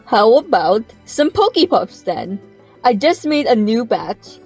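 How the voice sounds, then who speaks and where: A woman's voice: on the high side, very animated, takes it slowly, a little mellow, slightly rough, faint music behind, heavily acted, poor audio. Woman acting friendly Monferno bakery shopkeeper. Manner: chatty, welcoming. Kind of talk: audio drama dialogue.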